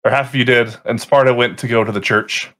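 A man's voice: medium pitch, fairly even intonation, fast talker, fairly bright tone. A man in the game master's seat talks through what happened last session in a loose, rambling way. He stops often to correct himself and pick up the thread again. He speaks through a home mic on a voice call. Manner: casual, rambling recap with self-corrections. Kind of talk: recapping a tabletop game session.

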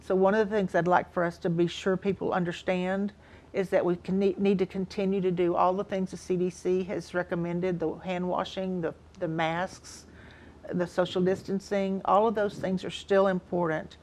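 A woman's voice: lowish voice, level tone, normal speed, a little mellow. A woman in her sixties explaining things as a counselor and guest in a studio interview. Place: TV studio, seated indoors.

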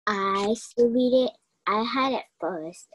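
A woman's voice: a little high, slow pace, noisy background. A young woman playing an insistent murder investigator in an amateur radio play. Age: young adult.

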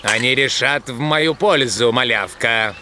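A man's voice: medium pitch, unhurried, noisy background, close to an everyday voice. A man voicing a self-assured adult dog musketeer, gallant and theatrical, in a dubbed cartoon. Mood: firm.

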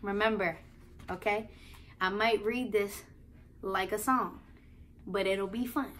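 A young adult woman with an American accent, reading aloud and explaining to young children in an expressive, playful, sing-song manner. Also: mid-range voice, slow pace, slight background noise. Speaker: children's read-aloud host and teacher. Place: small living room, indoors on a couch.

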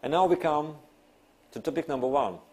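A man in his fifties, a non-native English speaker, giving an academic lecture in deliberate, emphatic phrases with pauses. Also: fairly high pitch, a little mellow, breathy edge. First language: not English. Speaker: university lecturer. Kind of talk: academic lecture, partly read from notes. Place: small classroom, seated at a desk.

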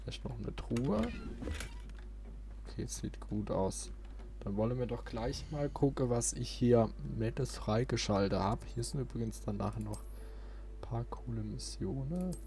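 A man's voice: medium pitch, a little slow, dark tone. A man casually commentating as he plays a video game. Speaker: let's player.

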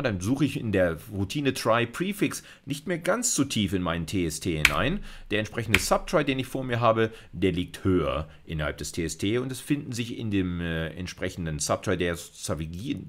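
A middle-aged man giving an informal university lecture, thinking aloud in a colloquial way as he demonstrates. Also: low-pitched. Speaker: university lecturer in computer science. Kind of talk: informal lecture narrating a live coding demo.

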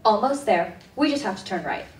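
A woman's voice: medium pitch, lively intonation, fairly quick, slight background noise, natural voice. A teenage girl playing a bossy, overconfident young group leader, self-assured, in a school radio drama on an echoey stage. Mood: cocksure. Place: indoor theatre stage. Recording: echoey stage hall, distant microphone.

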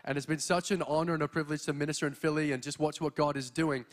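A young man from Australia with an Australian accent, preaching an energetic sermon as a guest speaker from a church stage into a handheld mic. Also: on the high side, fast talker. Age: young adult. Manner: energetic, exhorting, walks the stage.

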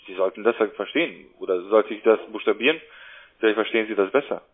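A man making an unscripted sales call, haltingly, often repeating words. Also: lowish voice. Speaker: cold caller. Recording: narrow, tinny phone line.

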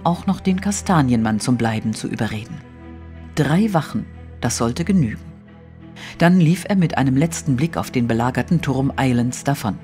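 A man narrating an audio drama from a literary script in a measured storytelling manner. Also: mid-range voice, darkish tone. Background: music.

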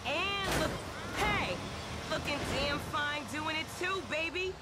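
A very high-pitched, brash and flirty street woman in a video game. She is rough and streetwise, heard over loud engine and traffic noise. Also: takes it slowly. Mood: flirty, bossy.

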